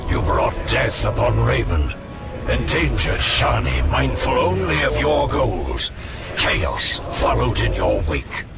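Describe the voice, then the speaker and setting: An actor playing an ancient, menacing spectral king of death in a video game, with a gravelly voice and solemn, archaic, grandiose delivery. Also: very animated, slow, heavily acted. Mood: accusing. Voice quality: very deep, gravelly. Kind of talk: dramatic video game villain acting. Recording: loud music under the voice.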